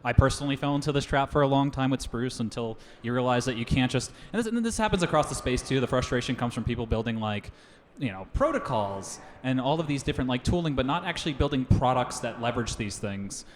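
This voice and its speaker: A man on a conference panel giving direct, practical advice, rapid and blunt. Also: medium pitch, animated, slight background noise. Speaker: panelist, investor and builder. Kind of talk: panel debate answer. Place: large conference hall. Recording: roomy echoey conference hall.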